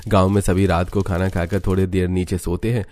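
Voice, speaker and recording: Male narrator with a low voice for an animated village tale. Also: fairly quick. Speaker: story narrator. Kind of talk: scripted Hindi cartoon story narration.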